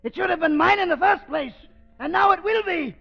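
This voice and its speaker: A man's voice: very high-pitched, a little fast, creaky, soft background music. A man playing a gloating, murderous doctor villain with theatrical, mock-tender menace in a 1930s radio drama. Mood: panicked, defiant. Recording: muffled vintage 1930s radio recording.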